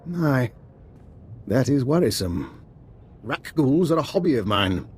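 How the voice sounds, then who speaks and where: A man's voice: medium pitch, big pitch swings, slow pace, darkish tone, a little hiss or hum. A man playing a genteel, wry scientist with morbid interests in a video game, arch and understated. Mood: musing, sly.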